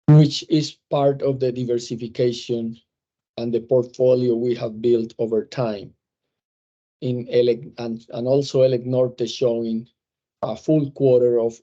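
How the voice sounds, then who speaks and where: A man presenting quarterly financial results on a conference call, speaking in a measured way with many fillers and restarts. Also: mid-range voice, dark tone, highs cut off. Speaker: company executive presenting results.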